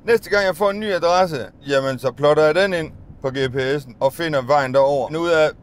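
A man's voice: on the high side, smooth. A middle-aged Danish bus driver, rambling and musing aloud in a casual vlog recorded inside his minibus. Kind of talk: casual vlog monologue while driving. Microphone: phone or dashcam on the dashboard.

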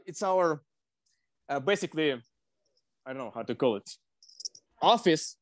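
Halting young Ukrainian man, slightly high voice. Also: lively intonation, dry recording, clean studio sound. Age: young adult. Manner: halting, searching for words. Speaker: interviewee. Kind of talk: spoken interview account. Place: small indoor room. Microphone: wired earphone headset on video call.

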